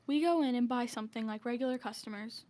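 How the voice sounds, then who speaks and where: A woman's voice: a little high, quick pace, mellow, natural voice. A woman playing a nervous but willing teenage college boy in an amateur radio drama.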